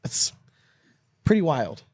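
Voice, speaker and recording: A man's voice: takes it slowly, a little mellow, no echo. A man co-hosting a sports podcast in casual chat, candid and loose, swearing freely and laughing between points. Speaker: podcast co-host.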